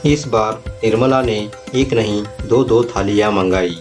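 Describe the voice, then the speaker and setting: Slow, low-voiced male story narrator. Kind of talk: scripted storytelling narration for a moral tale. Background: faint music.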